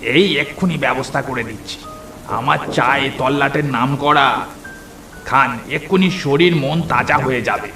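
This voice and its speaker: A man playing a folksy, deferential village tea-stall keeper in a horror cartoon, with a creaky voice. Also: mid-range voice, unhurried, bright, forceful tone, roomy sound, faint music behind. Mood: chatty, curious. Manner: folksy, deferential, stammers when afraid. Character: chatty village tea-stall keeper who turns frightened. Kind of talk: horror cartoon story acting.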